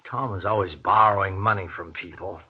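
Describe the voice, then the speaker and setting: An actor playing a tense, short-tempered husband in a 1940s radio horror drama, agitated, snapping and halting. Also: male voice, low-pitched, fairly even intonation, slightly rough. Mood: agitated, impatient. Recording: dull, lo-fi old radio recording.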